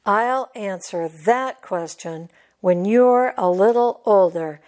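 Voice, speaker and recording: A woman voicing a patient mother tiger in a storybook, explaining things in a measured, gently instructive way. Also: mid-range voice, lightly acted. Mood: reassuring.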